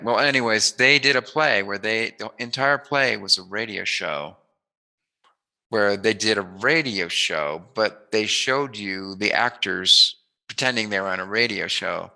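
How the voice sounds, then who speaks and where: A man's voice: on the low side, normal speed, gravelly, sharp tone, rough recording. A man hosting a casual interview, chatting in an informal, enthusiastic and rambling way. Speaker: interview host.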